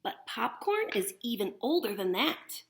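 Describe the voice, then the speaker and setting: A woman's voice: a little high, very animated, a little slow. A woman teacher reading a picture book aloud to young children, expressively and with simple explanations. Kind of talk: animated picture-book read-aloud with demonstration asides.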